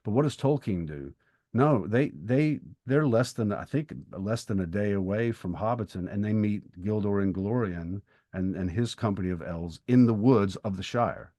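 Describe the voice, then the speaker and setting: A man co-hosting a podcast in casual literary discussion, speaking in a reflective, halting way with emphatic pauses. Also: lowish voice, warm tone, no echo. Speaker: podcast co-host.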